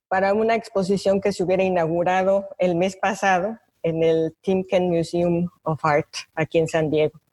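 A woman's voice: mid-range voice, darkish tone. An older woman with a Mexican accent, a guest artist reading her prepared reflections aloud at an online talk. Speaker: invited panelist, visual artist.

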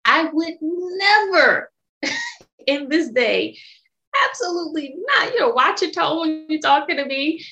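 A woman's voice: mid-range voice, a bit bright. A woman in her thirties with an American accent, talking candidly and emphatically in an interview and cussing freely. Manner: frank, emphatic, cusses freely. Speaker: guest, sommelier and entrepreneur.

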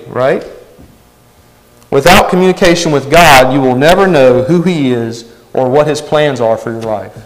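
A man's voice: fairly high pitch, rough recording. A man preaching a sermon, earnest and emphatic, often asking his listeners "right?".

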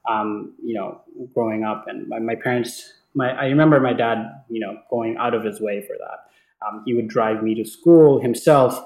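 A man's voice: lowish voice, normal speed, darkish tone. Echoey young man from Bangladesh, conversational. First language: Bengali. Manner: hesitant, often repeating words. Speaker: interview guest. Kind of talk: casual conversational storytelling. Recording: echoey, roomy sound.

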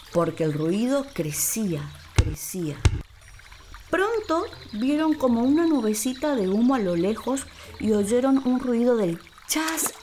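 Female storyteller, mid-pitched, theatrical and expressive children's narration over background music. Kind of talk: scripted dramatic storytelling for children.

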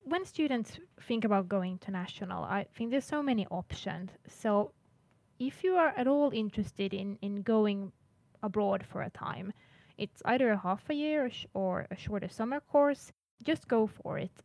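A woman from Finland speaks at a mid-range pitch as an international services coordinator. She is earnest, restarts words often and laughs lightly now and then. Her voice is recorded dry and close. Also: animated, moderate pace, a little mellow, dry recording. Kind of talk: interview answers.

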